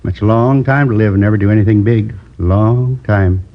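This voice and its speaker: An actor playing a lonely man in his seventies who confesses to a robbery in a slow, rambling, wistful way, in 1950s radio drama acting. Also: lowish voice, lightly acted. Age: 70s. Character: lonely old male drifter turned robber. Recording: dull old radio broadcast.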